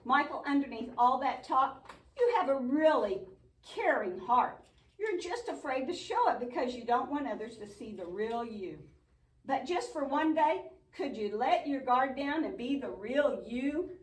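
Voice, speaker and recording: A woman's voice: sits high, expressive, unhurried. A woman playing an earnest, patient but bossy young puppet friend in a church show, explaining and chiding. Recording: echoey room, distant mic.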